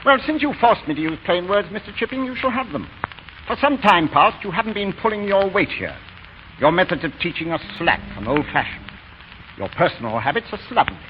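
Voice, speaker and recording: A stern English headmaster in an old radio drama. The voice is fairly high and very animated, with formal, officious phrasing. The recording is dull, with faint noise. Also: male voice, a little fast. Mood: stern, exasperated. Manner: formal, clipped, pompous. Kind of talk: 1930s radio acting. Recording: dull, noisy 1930s radio broadcast.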